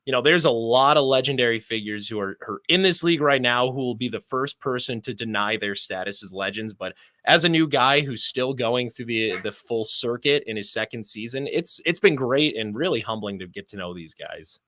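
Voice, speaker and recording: A young man with a bright, projecting broadcaster's voice talks in a sports interview. He is articulate and measured, choosing humble, rather elaborate phrases. The sound is dry and close. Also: medium pitch, expressive, dry recording. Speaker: sports play-by-play announcer, interview guest.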